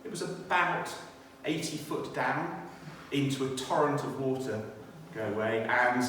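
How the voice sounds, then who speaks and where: British male church speaker, testimony and scripture, thoughtful halting delivery; long reverb, faint background noise. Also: mid-range voice, fairly bright tone. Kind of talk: personal testimony and scripture reading. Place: inside a church. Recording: echoey church hall, distant, faint noise.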